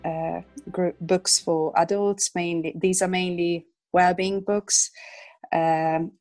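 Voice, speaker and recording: A woman in her thirties from Hungary, a Hungarian speaker talking in English, is a guest illustrator chatting in an interview, with many fillers and soft laughs. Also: fairly low pitch, little pitch movement, slow, no room sound, faint music behind. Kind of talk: casual interview chat.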